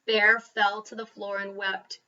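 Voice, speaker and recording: Bright-voiced woman reading a storybook aloud. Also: mid-range voice, level tone, distant mic, highs cut off. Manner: dramatic emphasis and pauses. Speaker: elementary school storybook reader. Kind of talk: expressive children's read-aloud with asides.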